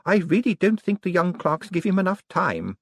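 A man's voice: high-pitched, sing-song, normal speed, a bit bright, dry recording, voice pushed up, heavily acted. A man doing a feisty, cackling old lady. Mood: cheerful. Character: feisty sharp-tongued old woman, gleeful and blunt.

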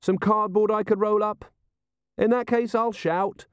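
Man voicing an earnest, high-pitched young watch officer. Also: steady tone, unhurried, clean tone, dry recording, clean studio sound. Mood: calling out. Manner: respectful, guileless. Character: earnest, polite, literal-minded young male watch officer.

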